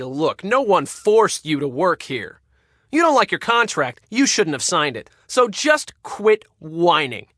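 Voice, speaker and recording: An actor playing a bossy, irritable and secretly crooked spa manager in a video game, curt and sarcastic. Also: male voice, a little high, sharp tone, dry recording, clean studio sound, heavily acted. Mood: scornful, exasperated. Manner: curt, sarcastic, barking orders.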